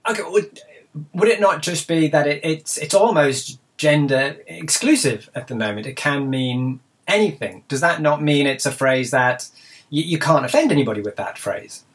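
A man's voice: a little high, animated, normal speed, a bit bright, husky. A man hosting a cycling podcast roundtable in a teasing, chatty way, his voice thin and tinny from a badly set up call input. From England. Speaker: podcast host. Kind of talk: casual roundtable chat. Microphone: computer call input. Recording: tinny thin sound from a misconfigured call setup.

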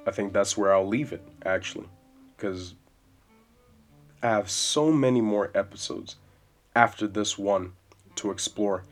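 A man in his twenties hosting a solo podcast, rambling casually and swearing freely in a loud voice with bass. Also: measured pace, long pauses. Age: 20s. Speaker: podcast host. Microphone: podcast mic. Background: soft jazz music.

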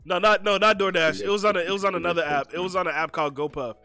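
A man's voice: high voice, steady tone, very fast, bright, forceful tone, soft background music. A young man hosting a casual podcast chat with a stuffy, sniffly nose, rambling laid-back and circling back to rephrase himself. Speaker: podcast host.

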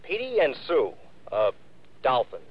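A man's voice: mid-range voice, wildly swooping pitch, a little slow, husky, full character voice. An actor playing a jovial, folksy, chummy male insurance man in a 1960s radio drama. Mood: chummy, eager. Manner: jovial, folksy, hesitant when explaining. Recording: dull old radio recording.

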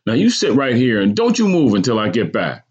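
A stern mother from a storybook, acted out by a man, barks and scolds in a raised, high voice. She sounds exasperated and commanding, and the recording is slightly dull. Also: huge pitch swings, fairly quick, darkish tone, husky, heavily acted. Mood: firm, commanding. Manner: barking, snapping orders, exasperated. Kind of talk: acted audiobook dialogue.

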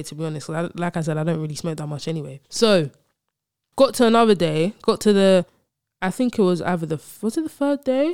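A woman's voice: lowish voice, no echo, studio quality. A woman, hosting a casual solo podcast and telling stories in a chatty, slangy way. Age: 20s. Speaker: podcast host.

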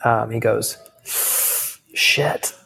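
A young man telling a candid personal story in casual talk, halting, with many fillers and restarts. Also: lowish voice, steady tone, vocal fry, pushed and bright, slightly rough, low-quality recording. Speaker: guest.

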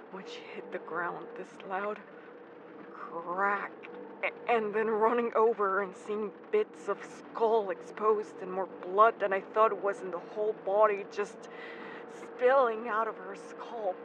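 An actor playing a haunted, guilt-ridden young person who speaks haltingly and stammers, in an audio drama. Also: medium pitch, fairly even intonation, lightly acted. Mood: haunted, grieving. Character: Daniel, haunted guilt-ridden young man confessing childhood trauma. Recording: dull, noisy lo-fi recording.

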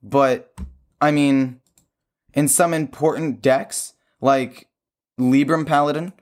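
A man with a sore, hoarse throat hosting a gaming show, explaining his opinions in casual conversation. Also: medium pitch, fairly even intonation, slow. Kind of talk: casual chat about a card game.

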